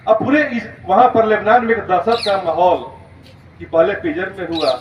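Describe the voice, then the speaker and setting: A man's voice: high voice, moderate pace. A man speaking as a school principal, giving an emphatic, step-by-step explanatory lecture in storytelling style. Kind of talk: motivational school lecture, storytelling about current events. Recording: roomy, distant, muffled, noisy recording.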